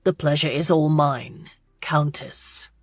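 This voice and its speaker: A woman's voice: low voice, fairly even intonation, slow pace, no echo, studio quality. A woman voicing a suave, amused male duke, polished and courteous, in an audiobook romance. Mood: smooth, amused. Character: suave, amused aristocratic duke, arrogant charmer.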